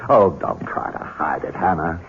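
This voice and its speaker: Smug, low-voiced scheming heir. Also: male voice, lively intonation, normal speed, husky, heavily acted. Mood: smug, mocking. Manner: mocking, chuckling, genteel and drawling. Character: scheming, smugly cruel Southern heir, a gentleman son. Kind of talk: 1970s radio drama acting. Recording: noisy, dull old radio broadcast.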